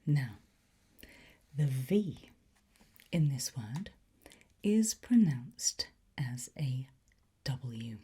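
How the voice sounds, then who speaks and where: A woman speaks in a soft, dark, very breathy whisper, at an extremely slow pace. She plays a patient ASMR teacher, gently encouraging the listener. The delivery is unhurried and close to unvoiced throughout. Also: a bass voice, sing-song. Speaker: ASMR host playing a language teacher.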